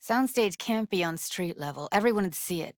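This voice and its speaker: A woman's voice: medium pitch, lively intonation, a little fast, dry recording, studio quality, natural voice. A woman voicing a streetwise, guarded young techie in a video game, blunt and slangy, with an American accent. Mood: focused, dry. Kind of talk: naturalistic video game dialogue.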